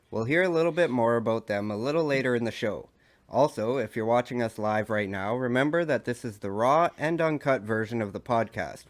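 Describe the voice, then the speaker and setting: Congested sick man hosting a podcast. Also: medium pitch. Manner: rough-sounding. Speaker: podcast host. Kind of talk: read-out show intro, then casual banter.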